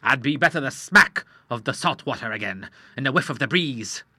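A man's voice: animated, moderate pace, pushed and bright, slightly rough, no echo, clean studio sound, full character voice. A man reading an audiobook, voicing a hearty old naval admiral in his sixties who talks boisterously in nautical terms. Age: 60s. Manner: booming, boisterous, nautical talk.

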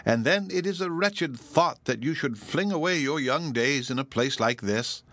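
A man reading aloud and voicing a gloomy, lonesome old woman who speaks in a mournful, sighing, halting way. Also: medium pitch, fairly bright tone, no room sound, voice pushed up, close to an everyday voice.

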